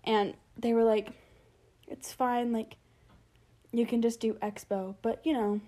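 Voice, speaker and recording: A woman's voice: on the high side, little pitch movement, unhurried, mellow. Chatty young woman telling stories. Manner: chatty storyteller, lots of "like" and laughs. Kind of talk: casual chat.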